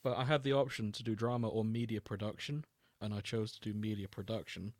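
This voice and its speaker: A man's voice: lowish voice, little pitch movement, fairly quick, no echo, clean studio sound. A man hosting a podcast, chatting casually and jokily with the odd mock-announcer aside. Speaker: podcast host. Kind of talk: casual comedic podcast chat.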